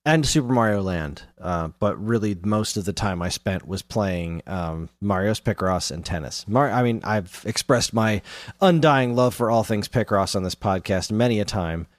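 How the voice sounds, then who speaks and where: Deep-voiced American man, dry close podcast sound. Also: normal speed, no room sound. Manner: enthusiastic, informal slang. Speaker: podcast co-host. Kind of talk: casual chat.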